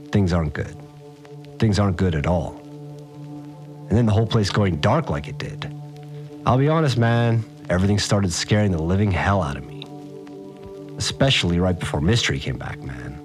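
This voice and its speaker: Deep rattled survivor dude, slangy sweary audio diary, rambling and animated, music behind, podcast drama. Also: male voice. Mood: shaken, bewildered. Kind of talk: casual confessional audio-diary monologue.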